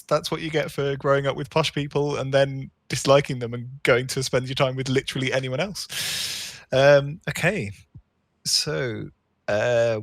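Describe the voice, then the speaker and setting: A man's voice: medium pitch, dry recording. A man running a social deduction game as storyteller, putting on an American Southern accent with a playful, drawling manner. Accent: put-on American Southern accent. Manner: playful, drawling, trails off with fillers. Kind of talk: live-streamed game narration and banter.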